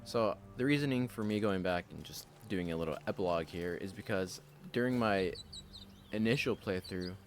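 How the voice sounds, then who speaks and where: A man hosting a casual game play-through, talking in a loose, rambling way with a slightly raspy voice. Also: sits low, animated, normal speed, slight background noise. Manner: loose and rambling, with many fillers. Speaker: host.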